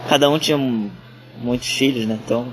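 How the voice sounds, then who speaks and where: Northeastern Brazilian man, trail-running guest, middle pitch, meandering casual storytelling full of restarts. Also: brisk, rough recording. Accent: northeastern Brazilian Portuguese. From Ceará, Brazil. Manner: rambling, with many restarts and fillers. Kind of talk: casual interview talk.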